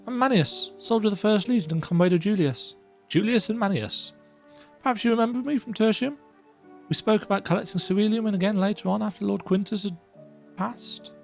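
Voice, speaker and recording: A woman voicing a weary, earnest young male imperial soldier who speaks in a reflective, softly resigned way. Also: medium pitch, a little fast, gravelly, soft background music, pitched above the performer's own voice, close to an everyday voice.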